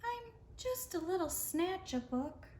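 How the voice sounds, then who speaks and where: A woman's voice: extremely high pitch, sing-song, slow, dark tone, reverberant, pitched above the performer's own voice, heavily acted. A woman voicing a small, ashamed male creature from a storybook, mournful and pleading in a little voice.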